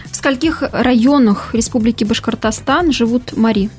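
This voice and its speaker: A woman's voice: fairly high pitch, moderate pace, a little mellow, faint music behind. A woman hosting a radio program, asking her guest polite, friendly questions in the studio. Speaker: radio host.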